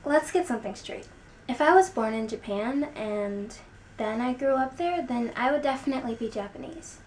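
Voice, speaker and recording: A woman's voice: on the high side, animated, normal speed, a little mellow. Emphatic young American woman, noisy recording. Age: young adult. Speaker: video blogger. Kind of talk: opinion vlog to camera. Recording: clear background noise, room ambience.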